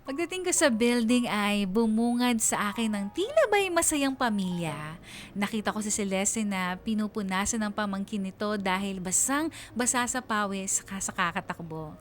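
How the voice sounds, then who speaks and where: A woman's voice: medium pitch, expressive, normal speed, slight background noise, close to an everyday voice. An actress playing a wistful young woman confiding her love story in first-person radio drama narration. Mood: wistful, reflective.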